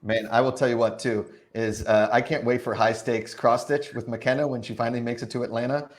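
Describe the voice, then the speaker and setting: A man's voice: medium pitch, steady tone, brisk. A man hosting a live talk show in an informal, joking way. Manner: jokey, informal. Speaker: show host.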